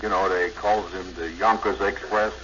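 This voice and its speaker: An actor playing a gullible, slow-witted middle-aged man, earnest and simple, in 1950s radio comedy style. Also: low voice, fairly even intonation, fairly bright tone. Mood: proud. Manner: earnest, simple, drawn-out yeahs, ungrammatical. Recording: muffled, faintly noisy 1950s radio recording.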